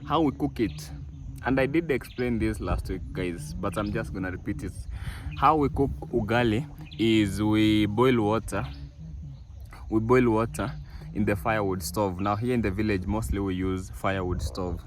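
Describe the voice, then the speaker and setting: A man's voice: fairly low pitch, normal speed, background noise. A young man vlogging from his family farm outdoors, talking casually to viewers with many fillers and restarts. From East Africa. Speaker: village vlogger. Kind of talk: casual walk-through vlog.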